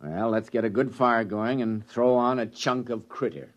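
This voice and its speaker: An actor playing a pragmatic, sardonic spaceship crew leader, matter-of-fact, in a 1950s radio drama. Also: male voice, mid-range voice, normal speed, dull audio, natural voice.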